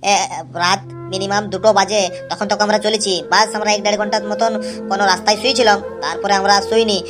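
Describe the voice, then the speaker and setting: A man's voice: high-pitched, little pitch movement, quick pace, music in the background, lightly acted. A man voicing a weary, chatty young male pilgrim in an amateur cartoon, rambling and repeating himself. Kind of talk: amateur cartoon dialogue, vlog-like.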